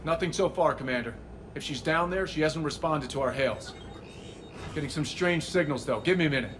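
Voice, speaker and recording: An actor playing an alert military shuttle pilot in a sci-fi video game, reporting tersely. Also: male voice, fairly high pitch, brisk, background noise, lightly acted. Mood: focused.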